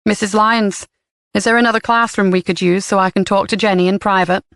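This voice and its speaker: A woman voicing a calm, steady female detective. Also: mid-range voice, brisk, clear, resonant tone, dry recording, close to an everyday voice.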